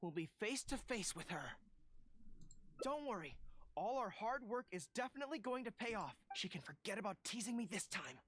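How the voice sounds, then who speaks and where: A woman's voice: mid-range voice, very animated, moderate pace, fairly bright tone, a little hiss or hum. A woman voicing an earnest, easily flustered young general in a video game, with dramatic declarations. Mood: confident. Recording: game audio with background music.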